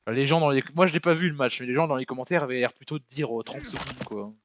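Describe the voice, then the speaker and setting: A man's voice: mid-range voice, fast talker, a little hiss or hum. Young man, casual slangy gamer. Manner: slangy, informal. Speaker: gamer playing with friends. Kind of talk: casual chat during co-op gameplay.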